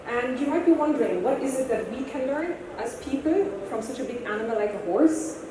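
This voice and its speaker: German lady coach speaking at a conference, kinda high voice, big echo, mic far off. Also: background noise. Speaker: horse-assisted coach giving a conference talk. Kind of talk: conference presentation. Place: large conference hall. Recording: echoey hall, distant mic.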